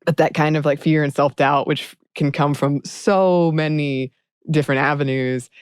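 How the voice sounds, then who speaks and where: A woman podcast co-host talking thoughtfully in a casual conversation, speaking in quick bursts with hesitant fillers. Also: deep voice, steady tone, smooth, dry recording, studio quality.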